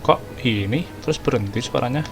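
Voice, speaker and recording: A man's voice: medium pitch, quick pace, gravelly. A man from Indonesia, a streamer casually commenting on his game and talking to his viewers. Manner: chatty, talks to viewers as guys. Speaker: gameplay streamer. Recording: game music behind.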